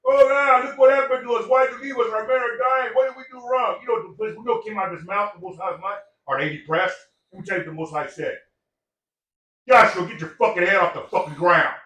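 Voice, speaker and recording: A man's voice: high-pitched, fairly quick, pushed and bright, recorded from a distance. Profane, preachy older man in an echoey room. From Samoan heritage. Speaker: podcast guest. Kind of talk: casual chat.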